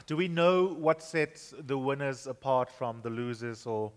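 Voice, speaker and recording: A man's voice: medium pitch, steady tone, a little slow. A man moderating a conference panel, poised and framing his questions at length. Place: conference hall. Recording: reverberant conference hall.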